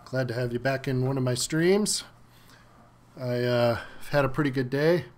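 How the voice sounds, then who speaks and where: A man's voice: medium pitch, normal speed. Stuffy-nosed man chatting on a stream. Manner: relaxed, chatty, many fillers, greets viewers by name. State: stuffy from a cold. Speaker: live stream host. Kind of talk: casual live stream chat. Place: at home.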